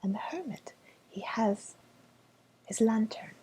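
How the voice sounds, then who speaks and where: A woman playing a soft-spoken fortune teller in an ASMR role-play, with a made-up foreign accent and a gentle, hesitant, whispery delivery. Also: fairly high pitch, expressive, slightly rough, heavily acted. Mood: gentle, reassuring. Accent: made-up, indeterminate foreign. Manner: slow, hesitant, gently reassuring, with pauses. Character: softly spoken mystical fortune teller woman reading tarot cards.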